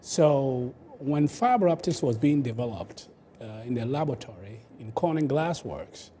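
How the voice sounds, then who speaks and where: Older Ghanaian-accented male expert, mellow mid-range voice, explanatory interview delivery, some background noise. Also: mid-range voice, lively intonation, moderate pace. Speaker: inventor, interview guest.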